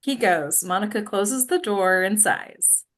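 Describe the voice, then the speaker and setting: A woman narrates stage directions at a script table read. Her voice is medium in pitch, and she reads the scene descriptions between the actors' lines. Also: unhurried.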